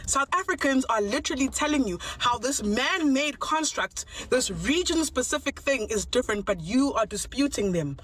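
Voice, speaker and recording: A woman's voice: sits high, expressive, moderate pace, hardly any pauses, a bit bright. A woman giving direct-to-camera commentary, exasperated and firing off questions. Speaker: online commentator.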